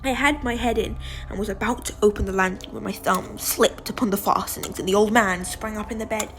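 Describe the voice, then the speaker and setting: A woman's voice: medium pitch, fairly even intonation, a little fast, fairly bright tone, a little hiss or hum, natural voice, poor audio. Woman acting a deranged, whispering gothic murderer. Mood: creeping suspense. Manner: theatrical, often dropping into breathy whispers. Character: deranged, gloating murderer confessing while insisting on sanity. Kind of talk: dramatic gothic story reading.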